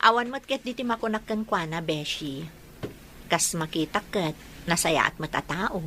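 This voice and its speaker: Bright, bashful young Filipino daughter. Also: female voice, on the low side, moderate pace, slight background noise. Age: young adult. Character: bashful, protesting young daughter being courted. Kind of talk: Philippine radio drama acting.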